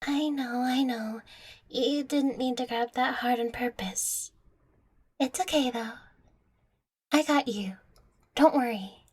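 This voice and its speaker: A playful young catgirl girlfriend acted by a woman speaks in a fairly high voice at a very unhurried pace. She is affectionate and teasing, coaxing the listener tenderly in an intimate audio roleplay. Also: a little mellow, husky, heavily acted. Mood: caring, guiding.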